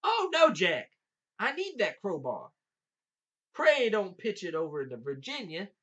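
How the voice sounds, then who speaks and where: A man voicing a big, gullible old giant in a folktale, with an Appalachian accent and folksy, drawn-out protests. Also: high-pitched, very animated, fairly bright tone, dull audio, pitched above the performer's own voice, full character voice. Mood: alarmed. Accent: Appalachian American.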